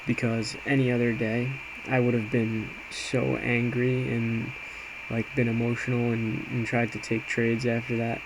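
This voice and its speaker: A man's voice: medium pitch, a little slow, hardly any pauses. A man giving an informal, hesitant, self-reflective trade recap as an amateur day trader, talking casually as he walks through a screen. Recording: clear steady background noise.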